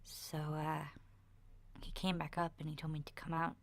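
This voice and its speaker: A woman voicing a chatty, nurturing child who survived a zombie apocalypse, soft-spoken and partly whispering, in a hesitant, confiding ASMR roleplay monologue. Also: sits low, flat delivery, normal speed. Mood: shaken, hushed.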